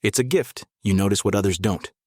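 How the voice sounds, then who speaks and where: A man playing a tired middle-aged policeman in an audio drama, fatherly and conversational while quietly probing. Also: fairly low pitch, a little fast, a little hiss or hum, close to an everyday voice. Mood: calm, probing. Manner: casual, conversational, subtly probing. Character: tired gray-haired cop, fatherly yet quietly dangerous.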